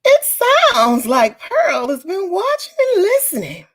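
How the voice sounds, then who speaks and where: A woman voicing a kind, proud old grandmother from a storybook, with a gentle, affectionate manner. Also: extremely high pitch, wildly swooping pitch, slow, voice pushed up, heavily acted.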